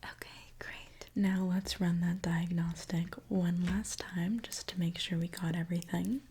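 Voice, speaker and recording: A woman whispering a gentle ASMR roleplay as a reassuring repair mechanic, talking softly and directly to the listener. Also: lowish voice, flat delivery, slightly rough. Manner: soft, gentle, reassuring, unhurried. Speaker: ASMR performer playing a robot repair mechanic.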